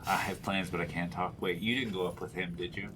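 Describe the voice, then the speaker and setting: A man's voice: deep voice, steady tone, fast talker, background noise. A man playing a tabletop game, chatting casually and jokingly with slangy, profane wording.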